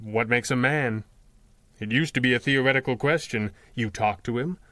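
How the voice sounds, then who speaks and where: An actor playing a calm, philosophical masked crime fighter in a 1930s-style radio adventure, speaking in a measured, articulate way. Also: male voice, mid-range voice, dry recording, clean studio sound, natural voice. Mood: reflective, gentle.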